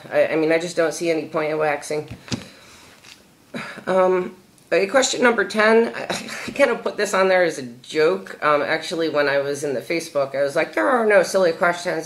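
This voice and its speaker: A young adult woman answering viewer questions in a casual vlog. She talks candidly and haltingly, with many fillers and repeated words. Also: low voice, poor audio. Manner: candid and chatty.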